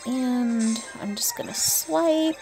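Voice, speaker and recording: A woman's voice: a little high, slow, over music, poor audio. A woman giving casual, chatty gameplay commentary as a player and channel host, often talking to herself and to the game's characters. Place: indoors, voice-over on gameplay with game music behind.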